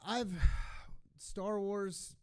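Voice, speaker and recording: A man's voice: sits high, steady tone, drawn-out words, smooth. A man chatting casually and jokily as a guest on stage at a fan convention, often chuckling. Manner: jokey, chuckling.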